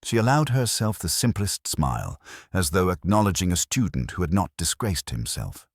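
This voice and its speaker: A man narrating a scripted mystery story in a measured, formal, literary manner. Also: deep voice, very animated, slightly rough, no room sound, studio quality.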